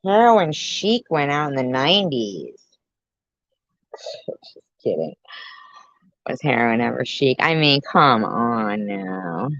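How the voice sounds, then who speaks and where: A woman's voice: rumbling low, very animated, takes it slowly, pauses often, a bit bright, no room sound, lo-fi sound. A woman doing sarcastic reaction commentary on a YouTube video, with mocking asides.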